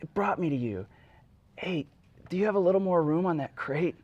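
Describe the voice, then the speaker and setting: A man's voice: a little high, animated, fairly quick, a little mellow, slightly rough, no room sound, highs cut off. A man playing an earnest young man in icy water, pleading in a parody dramatic reading.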